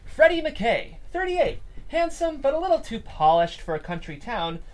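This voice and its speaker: A woman's voice: high-pitched, sing-song, normal speed. A woman narrator reading stage directions aloud at a script table read. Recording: faint room noise behind voice.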